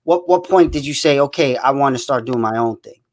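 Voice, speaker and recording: A man hosting a live online interview show, casual and halting with many fillers and restarts. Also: medium pitch. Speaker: host.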